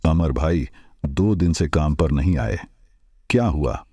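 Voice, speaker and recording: A man playing a kind, shrewd older village merchant in a cartoon story, speaking in a measured, advising way. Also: a bass voice, lively intonation, dark tone, breathy edge, no room sound, clean studio sound, full character voice. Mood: concerned. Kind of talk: Hindi cartoon moral-story voice acting.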